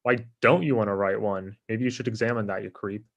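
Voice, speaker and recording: An actor at a comedy table read playing a lazy, self-absorbed office coworker in a casual, dismissive way. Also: male voice, lowish voice, steady tone, brisk, creaky, highs cut off.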